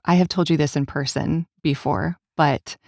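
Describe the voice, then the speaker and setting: A woman speaks as the host of an interview podcast. Her voice sits a little lower than most women's. The sound is dry, with very little room on it. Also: steady tone, normal speed, vocal fry, a little mellow, no room sound. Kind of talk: warm interview with scripted introduction.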